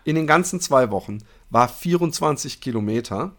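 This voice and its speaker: A man's voice: mid-range voice, expressive, normal speed, a bit bright. A man co-hosting a casual podcast, bantering about running in a teasing, playfully boastful and crude way. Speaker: podcast co-host.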